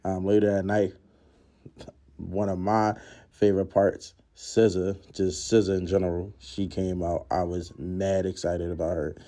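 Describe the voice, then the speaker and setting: Deep-voiced man, darker tone. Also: little pitch movement, normal speed, dark tone. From Philadelphia, United States. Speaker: concertgoer narrating his vlog. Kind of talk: casual voice-over recap.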